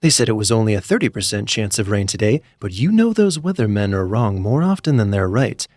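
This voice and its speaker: A man's voice: lowish voice, big pitch swings, brisk, a little mellow, no echo, studio quality. A man voicing a chatty, smiling woman who makes fussy small talk in a comic story.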